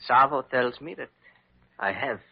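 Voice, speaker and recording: Husky, gracious exiled king. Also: mid-range voice, a little slow. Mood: resigned sorrow. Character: dying exiled former king, gracious and resigned. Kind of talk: old-time radio drama acting. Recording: dull lo-fi vintage radio recording.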